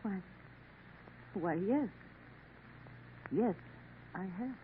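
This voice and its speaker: An actress playing a sorrowful young woman in a 1950s radio drama, gentle and hesitant. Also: mid-range voice, animated, drawn-out words. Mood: coaxing. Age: young adult. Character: sorrowful young woman working a Paris café. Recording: tinny old radio broadcast, faint hiss.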